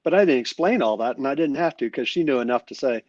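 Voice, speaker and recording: A man's voice: mid-range voice, expressive, fast, a little mellow, dry recording. Guy telling stories on a podcast, kinda muffled lo-fi sound. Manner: anecdotal storytelling, conversational. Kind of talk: casual conversation. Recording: dull lo-fi sound.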